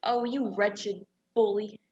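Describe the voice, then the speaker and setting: A woman's voice: mid-range voice, level tone, slow, a little mellow, close to an everyday voice. A woman acting in a radio play as a prim, protesting young woman, a defiant and indignant reluctant captive. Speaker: student stage actor. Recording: dull, lo-fi virtual recording.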